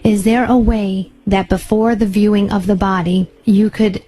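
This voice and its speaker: A woman's voice: mid-range voice, level tone, normal speed, mellow, close to an everyday voice. A woman playing an earnest, hesitant, polite bereaved widow in a prank phone call. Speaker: prank caller.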